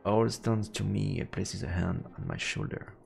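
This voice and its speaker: Low male voice, gravelly fry, measured dramatic storytelling, visual novel narrator, faint music underneath. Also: fairly even intonation, darkish tone. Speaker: streamer reading a visual novel aloud.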